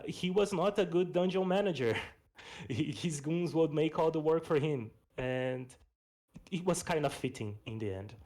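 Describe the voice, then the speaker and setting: A man whose first language is Portuguese, giving a casual presentation on his own game in a voice chat. He talks in a relaxed way, often adding "you know" and laughing at himself. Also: mid-range voice. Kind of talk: casual post-mortem presentation. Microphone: voice chat microphone.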